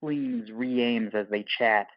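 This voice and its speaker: Narrator for audio play: male, mid-pitched, terse and clipped, scripted present-tense delivery, very dry room. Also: fairly even intonation, takes it slowly, no room sound, muffled recording.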